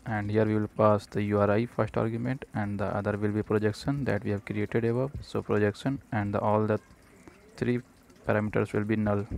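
Low-pitched man, flat halting tutorial narration. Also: gravelly. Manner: halting, speaks in short fragments. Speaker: programming tutorial presenter. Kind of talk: step-by-step screencast tutorial narration.

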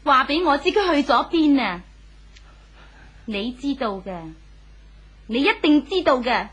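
A woman's voice: high voice, big pitch swings, a little slow, fairly bright tone, exaggerated voice. A woman acting a lovesick, accusing young wife in a 1970s radio drama, wailing in Hong Kong Cantonese. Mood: anxious demanding. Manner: wailing, accusing, emotional delivery.

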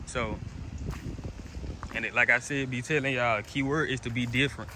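A man's voice: mid-range voice, steady tone, normal speed. Rambling 19-year-old man outdoors. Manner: rambling, repeats himself, lots of restarts. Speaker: car vlogger. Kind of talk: casual vlog to camera. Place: outdoors in a driveway beside a car. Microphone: phone held at arm's length. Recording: outdoor phone audio with background noise.

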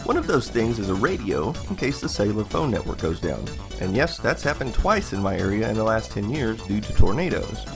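A man's voice: fairly low pitch, very animated, brisk, gravelly, few pauses, music in the background. An American man narrating a scripted product test in a plain, methodical, matter-of-fact way. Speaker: product reviewer, channel host.

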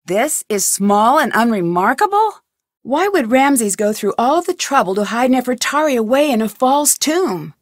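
A curious, blunt young female detective from a video game, asking sharp, probing questions. Her voice sits fairly high, and the recording is dry and close. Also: sing-song, normal speed, no echo. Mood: inquisitive.

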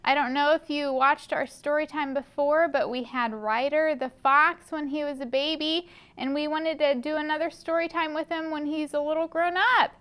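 A woman's voice: high voice, steady tone, normal speed, hardly any pauses, fairly bright tone. A young woman hosting a children's library story time, reading a picture book aloud and putting questions to small listeners. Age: young adult. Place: carpeted library children's room, indoors. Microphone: camera a few feet away.

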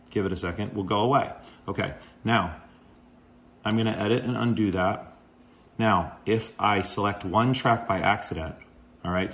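Low-voiced man explaining casually. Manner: relaxed, rambling with many fillers. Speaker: podcast host. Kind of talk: casual how-to walkthrough. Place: home kitchen, indoors.

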